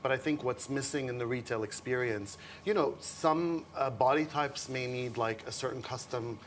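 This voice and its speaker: A man whose first language is Arabic, a fashion designer guest on a morning TV interview, relaxed and chatty and quick to laugh. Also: mid-range voice. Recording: TV studio with faint background noise.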